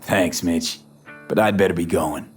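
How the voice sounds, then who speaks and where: An actor playing a weary, guarded young mercenary in a video game, speaking in terse, understated sentences. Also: male voice, low voice, a little slow, soft background music. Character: weary, guarded young male mercenary, stubbornly downplaying trouble. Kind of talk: naturalistic video game acting.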